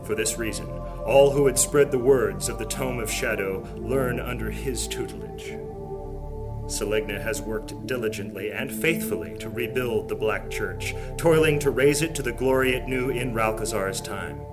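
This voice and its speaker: A man's voice: mid-range voice, normal speed, lightly acted. A man performing grave, theatrical narration as the storyteller of a fantasy audio drama. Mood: grand, ominous. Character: solemn male fantasy storyteller. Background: music.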